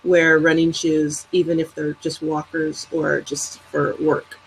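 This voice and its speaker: A middle-aged woman from the United States, a physician answering interview questions in a relaxed, easygoing way. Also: deep voice, unhurried, a little hiss or hum, poor audio. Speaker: physician guest.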